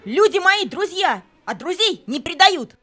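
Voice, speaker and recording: Very high, excitable loyal dog. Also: female voice, unhurried, faint music behind, heavily acted. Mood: defiant. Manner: exclamatory, fervent. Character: brave, loyal, hot-tempered talking male dog. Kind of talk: children's audio play acting.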